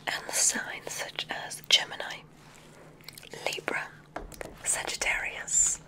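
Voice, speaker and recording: A woman whispering an ASMR roleplay as a gentle witch examiner, giving soothing, instructive guidance. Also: low-quality recording.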